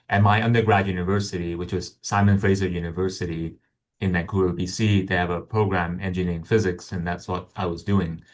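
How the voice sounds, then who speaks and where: Deep-voiced hesitant male scientist. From Hong Kong. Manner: hesitant, many ums and restarts. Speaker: guest scientist. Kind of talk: conversational interview answers.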